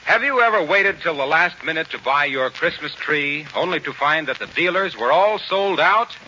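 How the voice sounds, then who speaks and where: A man's voice: a little high, lively intonation, normal speed, a crowd in the background. An American man announcing in a scripted 1940s radio style. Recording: muffled, faintly noisy 1940s radio recording.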